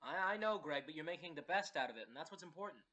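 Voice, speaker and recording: An actor playing a kindly, patient male radio producer in a radio play, gently chiding and supportive. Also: fairly high pitch, lively intonation, fast talker. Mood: encouraging, amused.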